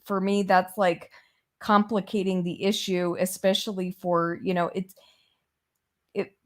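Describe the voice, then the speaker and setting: A woman's voice: lowish voice, flat delivery, unhurried. Frank woman, Persian native speaker. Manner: frank, plain-spoken, with fillers. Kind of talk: casual discussion.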